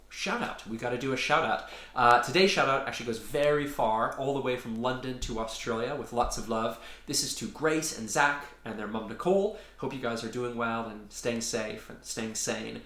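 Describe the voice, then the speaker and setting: Bright-voiced man storyteller in an echoey room. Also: medium pitch. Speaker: author and storyteller. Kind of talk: animated children's story reading with casual introduction. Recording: roomy echo.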